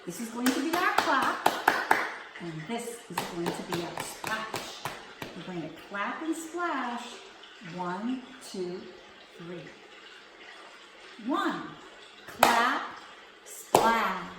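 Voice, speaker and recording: Slow, sing-song woman reading to kids. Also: a little high, very animated, roomy sound, background noise. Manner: slow, gentle, sing-song read-aloud delivery. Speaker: children's storytime teacher. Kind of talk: reading a picture book aloud to young children. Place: at home.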